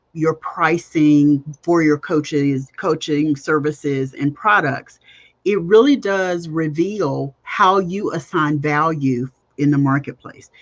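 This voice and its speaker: A woman's voice: sits low, muffled recording. A woman hosting a coaching podcast livestream, speaking emphatically, repeating key phrases and putting direct questions to her listeners. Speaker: podcast host and business coach.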